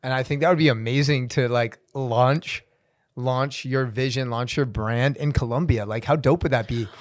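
A man hosting a podcast, asking questions in a relaxed, slangy, casual way. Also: medium pitch, normal speed, no echo, studio quality. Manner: relaxed slangy interviewer, steady mid voice. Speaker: podcast host. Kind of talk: casual interview chat.

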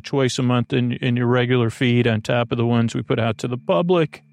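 A man hosting a sleep podcast in a creaky voice, delivering a soothing, meandering bedtime monologue that halts and restarts mid-phrase. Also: mid-range voice. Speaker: podcast host.

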